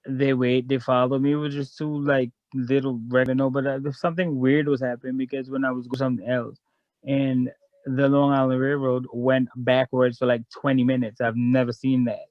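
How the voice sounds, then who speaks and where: A man's voice: medium pitch, steady tone, normal speed, creaky, no echo, muffled recording. A man from Guyana, a guest in a casual chat, telling a long story in a frank, rambling way.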